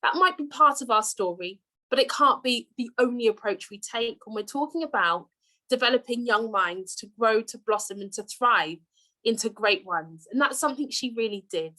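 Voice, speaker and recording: A woman's voice: a little high, expressive, moderate pace, sharp tone, low-quality recording. A woman with an English accent, a deputy head teacher, giving an earnest conference talk over a video call, with many fillers and repeated words.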